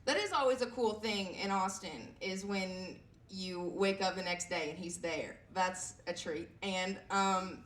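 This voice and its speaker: A woman in her twenties with a raspy voice, performing a self-deprecating stand-up set with lots of fillers and halting restarts. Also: mid-range voice, fairly bright tone. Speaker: stand-up comedian. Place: comedy club stage. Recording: echoey room heard from a distance.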